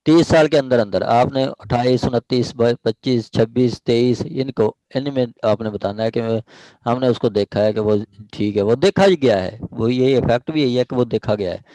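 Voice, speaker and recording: A man's voice: medium pitch, moderate pace, mellow, no echo, low-quality recording. A man with a Pakistani accent lecturing on law, explaining step by step, repeating points, checking understanding and mixing in English legal phrases. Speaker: law teacher. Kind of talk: live online law lecture with questions.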